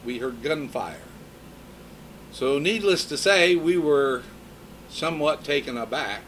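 A man's voice: on the high side, lively intonation, slow pace, a bit bright, slight background noise, low-quality recording. A man in his fifties preaching a sermon in a conversational way, mixing scripture with personal stories. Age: 50s.

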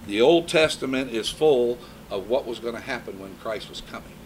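Male preacher, fifties, relaxed storytelling sermon, mid pitch. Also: normal speed, a little hiss or hum, poor audio. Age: 50s. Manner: conversational preaching with personal stories.

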